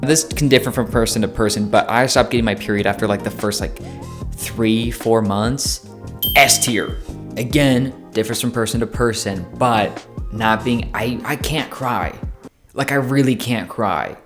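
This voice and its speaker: A man's voice: mid-range voice, a little slow, over music. A man in his twenties talking casually to the camera, chatty and playful, with plenty of fillers and self-corrections. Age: 20s. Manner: playful, jokey. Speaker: vlogger.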